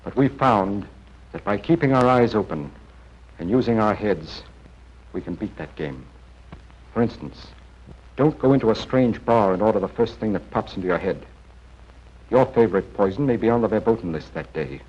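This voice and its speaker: A man playing a seasoned, assured intelligence briefing officer in a 1940s training film, giving measured, plain-spoken advice. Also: fairly low pitch, expressive, darkish tone, close to an everyday voice. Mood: assured, advising. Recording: muffled 1940s film soundtrack.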